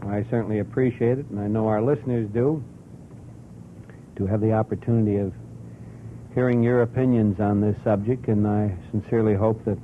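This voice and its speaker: A man's voice: fairly even intonation, brisk. A man hosting an ad-lib late-night radio talk show, conversational and courteous, moving between chat and live ad reads. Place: radio studio. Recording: muffled 1950s radio broadcast, noisy, rumbling.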